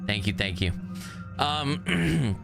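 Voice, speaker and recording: A man's voice: mid-range voice, expressive, drawn-out words, vocal fry. A man chatting casually and informally as he livestreams a video game. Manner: informal, conversational. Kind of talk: casual live stream chat. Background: game music.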